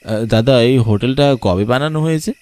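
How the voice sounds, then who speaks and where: A man voicing an uneasy city gentleman back in his village, polite and questioning, in a cartoon. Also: medium pitch, normal speed, pitched above the performer's own voice, close to an everyday voice. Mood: uneasy, doubtful. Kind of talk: cartoon dialogue. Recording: background music, night insects.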